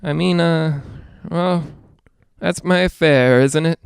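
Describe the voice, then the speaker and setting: A male actor playing a nervous, lonely broadcaster in a 1940s-style radio drama, rambling and halting as he tries to reassure himself. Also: fairly high pitch, creaky, clear, resonant tone, dry recording, studio quality. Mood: melancholy, lonely. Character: jittery, lonely man broadcasting alone from haunted house.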